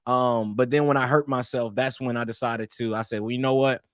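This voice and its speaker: A man's voice: mid-range voice, a little fast, no room sound, studio quality. Laid-back man from East St. Louis. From East St. Louis, Illinois. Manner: relaxed, rambling, peppered with "man" and restarts. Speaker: guest. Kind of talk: casual interview storytelling.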